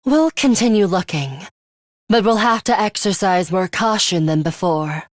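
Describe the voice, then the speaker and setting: A woman playing a stern, ruthless young female fighter in a video game, speaking in a terse, measured and commanding manner. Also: mid-range voice, expressive, no room sound, studio quality, natural voice. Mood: cool, analytical. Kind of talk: scripted video game voice acting.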